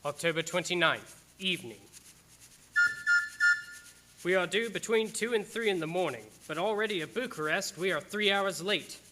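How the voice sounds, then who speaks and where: A man's voice: high voice, expressive, moderate pace, long pauses, heavy background noise. A man playing a solemn young Englishman who reads his journal aloud in a radio play. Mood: solemn, tense. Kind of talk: dramatic radio-play narration. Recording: live-streamed radio play with music bed.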